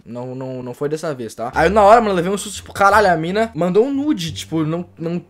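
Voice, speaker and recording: A man's voice: fairly high pitch, expressive, fast talker, a bit bright. A young man in his late teens with a Brazilian Portuguese accent, chatting casually and joking to camera with lots of slang from a small bedroom on a desk mic. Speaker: YouTuber. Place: small bedroom with LED lights. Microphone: desk microphone.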